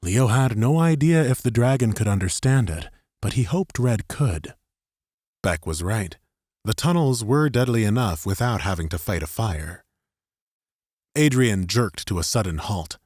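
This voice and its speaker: A man's voice: lowish voice, lively intonation, a little slow, darkish tone, no room sound, studio quality. A man reading scripted third-person fiction narration as an audiobook narrator.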